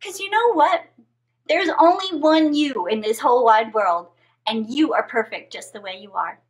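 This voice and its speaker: A woman with a high voice tells stories to young children. She is expressive and theatrical, and her pitch swings widely as she goes. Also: moderate pace. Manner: exaggerated, expressive delivery. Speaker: children's story time host. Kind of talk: animated storytelling for young children.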